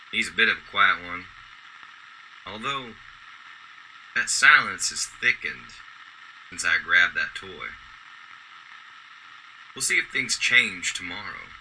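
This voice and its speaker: Kindly working man for radio play, male actor: lowish, very bright forceful voice, courteous, faint noise behind. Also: animated, slow, long pauses, heavily acted, poor audio. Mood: musing. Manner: courteous, gently conversational. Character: kindly, soft-hearted garbage truck driver Nicholas. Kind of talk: old-time radio drama acting.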